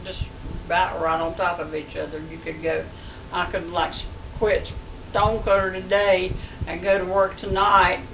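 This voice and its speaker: A woman in her seventies, a retired mill weaver, recalling her work in a slow, unhurried way. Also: lowish voice, flat delivery. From North Carolina. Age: 70s. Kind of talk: casual interview. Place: living room. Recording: dull roomy audio from a far camera with background noise.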